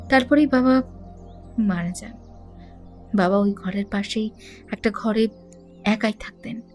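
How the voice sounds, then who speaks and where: A woman playing an educated young heiress in an audio drama, recounting her troubles in a measured, confiding way. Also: fairly high pitch, a little mellow, lightly acted. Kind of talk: Bengali audio drama acting.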